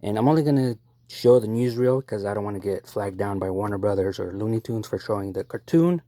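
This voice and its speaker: A man's voice: on the low side, brisk, dark tone, no room sound. A man talking casually to viewers as a video host, close to the mic.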